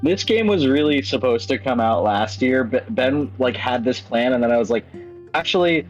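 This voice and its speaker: A man's voice: mid-range voice, lively intonation, moderate pace. A man chatting casually in an interview as a game developer, on dull lo-fi audio. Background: guitar music.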